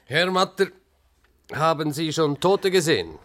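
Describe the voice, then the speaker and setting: An actor playing a formal official who puts probing questions in a radio drama. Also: male voice, a little high, expressive, unhurried, fairly bright tone, faint music behind.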